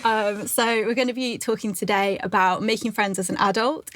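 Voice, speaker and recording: A young woman hosting a chat podcast, speaking warmly with frequent "um"s. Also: mid-range voice, fairly even intonation, normal speed. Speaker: podcast host. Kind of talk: casual chat.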